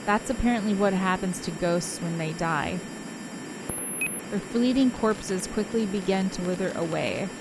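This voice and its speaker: A woman's voice: medium pitch, measured pace, noisy background, lightly acted. A woman reading the part of a brooding, traumatized ghost girl, narrating a visual novel in an introspective, melancholy way. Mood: brooding, irritated. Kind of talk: dramatic visual novel read-aloud.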